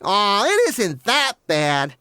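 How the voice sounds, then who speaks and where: A woman's voice: mid-range voice, huge pitch swings, slow, bright, forceful tone, no echo, studio quality, exaggerated voice. A woman voicing a cheerful young boy sidekick in an old-time radio drama. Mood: whiny. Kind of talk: 1930s radio drama acting.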